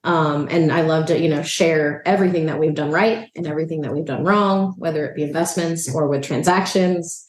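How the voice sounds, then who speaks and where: Low-voiced Salvadoran-born woman, relaxed chatty interviewee, echoey room. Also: brisk, few pauses. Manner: relaxed, chatty, frequent "um" and "you know". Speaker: real estate agent and investor. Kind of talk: casual interview chat. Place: a rental apartment.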